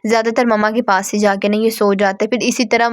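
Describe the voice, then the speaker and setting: A woman's voice: medium pitch, level tone, fast. A woman narrating a casual home vlog in a chatty, affectionate way, often talking to her cats. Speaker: vlogger.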